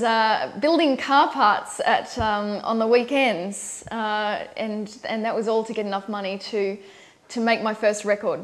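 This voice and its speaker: Chatty Australian woman, lots of ums. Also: mid-range voice. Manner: chatty, many ums and uhs. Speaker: country singer. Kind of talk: promotional interview monologue.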